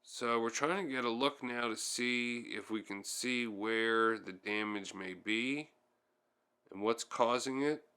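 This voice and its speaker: A man's voice: mid-range voice, slow pace, sharp tone, clear, resonant tone. A man giving casual, hands-on how-to narration as a tutorial host, thinking aloud a little hesitantly as he goes.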